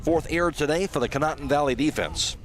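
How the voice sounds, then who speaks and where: An American man doing live radio baseball play-by-play, calling each pitch in short, clipped phrases. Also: fast, a little hiss or hum. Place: at an outdoor high school baseball game.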